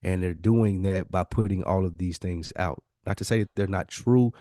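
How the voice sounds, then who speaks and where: A man's voice: low-pitched, moderate pace, dark tone, dry recording, clean studio sound. An American man co-hosting a casual podcast discussion, speaking bluntly and repeating phrases for emphasis. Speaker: podcast co-host.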